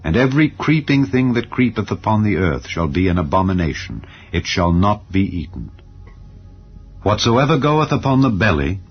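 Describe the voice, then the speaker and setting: A man with a notably deep voice recites scripture slowly and solemnly, each clause weighed. The sound is slightly dull, with quiet music playing underneath. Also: slightly rough. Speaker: Bible reader. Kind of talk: dramatized scripture reading. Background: faint background music.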